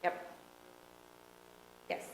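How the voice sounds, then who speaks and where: A woman presenting to a city council work session, speaking loudly in a relaxed, conversational way with many fillers. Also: very slow. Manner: loud voice. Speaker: task force presenter. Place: council meeting room. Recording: echoey meeting room with faint noise.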